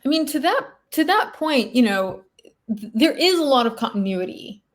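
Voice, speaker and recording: A woman's voice: high voice, big pitch swings, normal speed. A woman historian speaking as a guest, explaining research in a thoughtful, conversational academic way.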